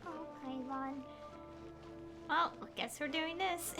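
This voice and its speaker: A woman's voice: high-pitched, fairly even intonation, takes it slowly. A woman game streamer giving casual, rambling commentary and often correcting herself as she goes. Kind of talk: casual gameplay commentary, reading dialogue aloud. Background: game music.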